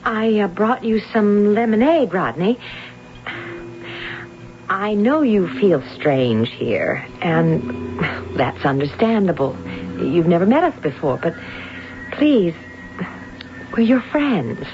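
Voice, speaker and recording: An actress playing a caring, anxious wife who is gentle and patient, in an old-time radio drama. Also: female voice, mid-range voice, expressive, slow pace, long pauses, over music. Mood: soothing, patient. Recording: muffled noisy old radio drama recording.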